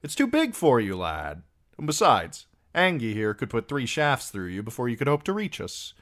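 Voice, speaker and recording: A man's voice: medium pitch, huge pitch swings, normal speed, a bit bright, voice pushed up. A man voicing a wry, genial, roguish wandering singer in an audiobook, teasing and wheedling as he draws out his words.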